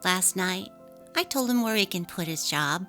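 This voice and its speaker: A woman's voice: on the low side, animated, moderate pace, sharp tone. A woman voicing an earnest, resolute young wife and mother in a dramatically read story, with emphatic, heartfelt delivery. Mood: indignant. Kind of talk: dramatic reading of a text-message story. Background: music.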